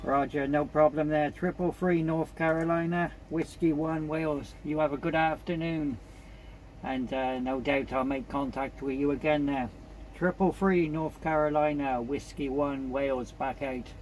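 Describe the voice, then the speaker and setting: A man's voice: fairly high pitch, fairly even intonation, moderate pace, a little mellow, a little hiss or hum, low-quality recording. A man working a CB radio, politely exchanging call signs in a procedural, repetitive way. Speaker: amateur CB radio operator.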